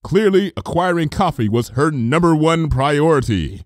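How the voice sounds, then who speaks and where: A man reading fan fiction aloud as expressive, dramatic story narration. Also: on the high side, moderate pace, no room sound, clean studio sound.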